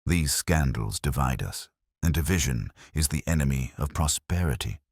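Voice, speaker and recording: A man's voice: extremely low pitch, lively intonation, normal speed, darkish tone, slightly rough, no echo, clean studio sound, exaggerated voice. A man playing a grandiose, self-pitying small-town mayor, florid and defensive, in a mystery story. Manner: florid, speechifying.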